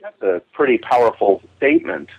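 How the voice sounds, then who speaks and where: A man's voice: fairly low pitch, expressive, fairly quick, vocal fry. Muffled man, emphatic talker. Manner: emphatic, opinionated. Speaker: guest audio drama expert. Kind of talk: casual enthusiastic discussion. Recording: muffled telephone-like call.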